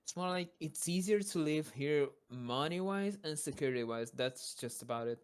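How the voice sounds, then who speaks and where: A man's voice: on the high side, animated, clean tone, no echo. Young brazilian-born man, casual podcast chat. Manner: swears casually, halting restarts. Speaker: podcast co-host.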